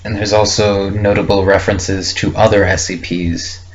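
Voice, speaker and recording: Low-voiced young man rambling, muffled recording. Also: little pitch movement, normal speed. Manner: hesitant, reflective, thinking aloud in short fragments. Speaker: vlogger recording a personal audio log. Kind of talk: casual unscripted rambling monologue. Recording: muffled lo-fi with faint background noise.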